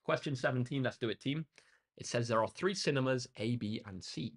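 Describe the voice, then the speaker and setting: A young man with a slightly croaky voice, tutoring maths on a live stream in an upbeat, chatty way, reading out comments from chat. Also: mid-range voice, brisk. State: slightly hoarse. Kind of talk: live-streamed exam walkthrough.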